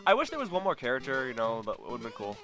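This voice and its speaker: A young man co-hosting a casual video game chat, talking quickly with lots of restarts. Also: soft background music. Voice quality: deep bass voice. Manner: fast, animated, stumbling restarts. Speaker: co-host.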